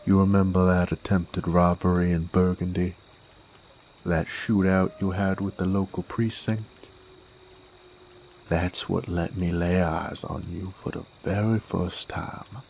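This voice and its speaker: A man playing a cocky, gruff werewolf bounty hunter in an Old West audio drama, with a folksy, taunting American Western drawl. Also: low voice, little pitch movement, measured pace, darkish tone, slight background noise. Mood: smug, menacing. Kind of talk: roleplay audio drama monologue, addressed to a captive.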